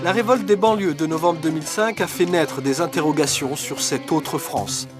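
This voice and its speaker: A man reading a documentary voice-over in a measured, earnest way. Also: fairly high pitch. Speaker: documentary narrator. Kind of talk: scripted voice-over narration. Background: music.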